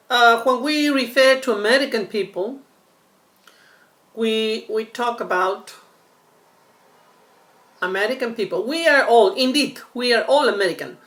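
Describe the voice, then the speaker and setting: A woman from Peru teaching an online English class, slow and patient, often checking in with "no?". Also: fairly high pitch, fairly bright tone. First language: Spanish. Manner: slow and patient, keeps asking "no?".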